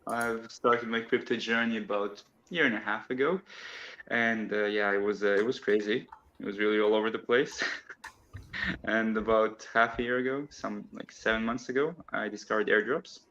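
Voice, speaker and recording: A man's voice: on the low side, fairly even intonation, normal speed, fairly bright tone, highs cut off. A man from Croatia, a guest on a casual online chat, talking in a chatty way and laughing often as he explains.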